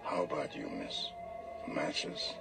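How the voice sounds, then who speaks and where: A little old street pedlar in a radio play, with a deep, creaky voice. He is courteous and cryptic, softly pressing his point with gentle insistence. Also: huge pitch swings, takes it slowly, music in the background, heavily acted. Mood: gently insistent. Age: older. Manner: soft-spoken, courteous, insistent. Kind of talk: radio drama acting.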